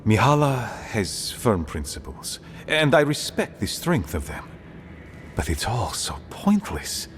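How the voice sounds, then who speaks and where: An actor playing an aging dwarf, a weary, pragmatic conspirator speaking gravely and earnestly in a fantasy game. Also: male voice, medium pitch, very animated, a little slow, faint music behind. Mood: bleak, urgent. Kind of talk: dramatic game dialogue.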